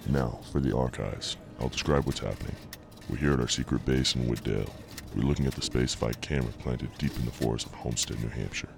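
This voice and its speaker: An actor playing an obsessive visionary inventor and devoted husband in an audio drama, with a grave, measured delivery and a gravelly voice over a noisy recording. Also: male voice, a bass voice. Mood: proud. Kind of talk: audio drama acting, partly recorded log narration. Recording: noisy amateur podcast recording.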